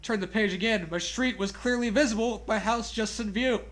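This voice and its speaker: A man's voice: high-pitched, normal speed, fairly bright tone. A man reading a horror story aloud as comedy, with casual, joking banter and a readiness to laugh.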